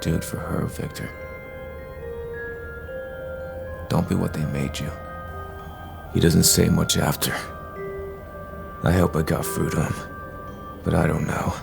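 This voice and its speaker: Man acting as very deep gravelly brooding vigilante. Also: fairly even intonation, heavily acted. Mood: pleading. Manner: slow, halting delivery. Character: brooding, remorseful masked male superhero vigilante. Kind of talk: audio drama acting. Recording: music under the voice.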